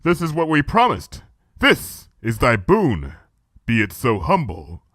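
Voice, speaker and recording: A man's voice: medium pitch, huge pitch swings, takes it slowly, fairly bright tone, dry recording, studio quality, exaggerated voice. A man voicing a haughty pony princess in a storybook reading, declaiming grandly in archaic royal speech. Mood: solemn. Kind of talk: storybook fan fiction character reading.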